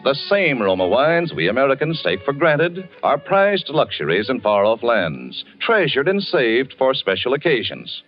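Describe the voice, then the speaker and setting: A man reading a radio wine advertisement in a persuasive salesman's manner, on a muffled old broadcast. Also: medium pitch. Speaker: commercial announcer.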